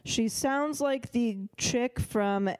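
A woman's voice: a little high, slow, clean tone. A young woman co-hosting a podcast, telling stories in casual chat and laughing often. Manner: animated storyteller, laughs often. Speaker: podcast co-host.

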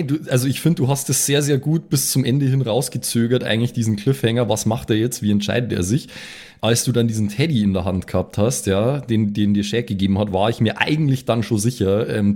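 A man's voice: lowish voice, fairly even intonation, brisk, dark tone. A man chatting casually as a podcast regular. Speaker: podcast regular, role-player. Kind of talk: casual chat.

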